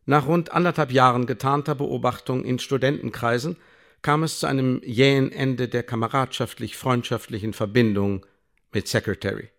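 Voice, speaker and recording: An actor playing a coolly bureaucratic secret service officer in a radio play, speaking in measured, formal officialese. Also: male voice, medium pitch, fairly bright tone, natural voice. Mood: dry, official. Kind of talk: radio drama, dictated official report.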